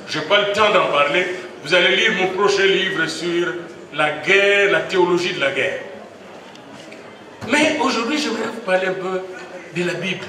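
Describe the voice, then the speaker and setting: A man with a Congolese French accent giving a graduation speech that turns to preaching, emphatic and rising into excited exclamations, heard from a distance in a large hall with an audience. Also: high voice. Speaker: university rector speaking at a graduation. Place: large assembly hall in Kinshasa.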